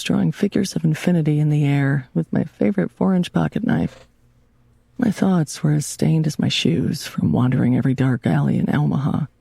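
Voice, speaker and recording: An actress voices a hard-edged female private eye narrating a noir audiobook. Her voice is heavily creaky and husky, with lively rises and falls, close and dry. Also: sits low, no room sound, full character voice. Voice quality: gravelly, husky. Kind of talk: first-person noir fiction narration.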